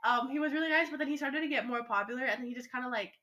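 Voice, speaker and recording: Teenage girl, high bright voice, giggly, rambling, gushing, casual storytime podcast chat. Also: racing. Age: young teenager. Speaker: podcast co-host.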